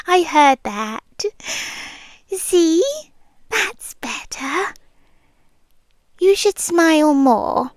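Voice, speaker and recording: A British woman half-whispering a soft, nervously chatty ASMR roleplay into a close microphone. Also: high-pitched, no echo.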